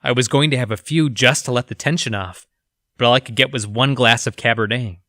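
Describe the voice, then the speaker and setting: A man playing a weary, troubled lab researcher gravely and articulately reading his journal in an audio drama. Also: mid-range voice, brisk, pushed and bright, dry recording, studio quality, close to an everyday voice. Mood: weary, defensive.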